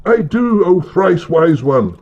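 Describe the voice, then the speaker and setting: A man playing an earnest, put-upon seeker of wisdom in a comic radio play, mock-reverent and husky. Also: on the high side, big pitch swings, slow, a little mellow, muffled recording, heavily acted.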